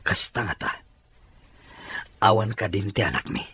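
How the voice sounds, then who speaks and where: A man's voice: fairly low pitch, normal speed, sharp tone, breathy edge, full character voice. A man playing a troubled, earnest father searching for a lost child in an audio drama. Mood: sad, resolute.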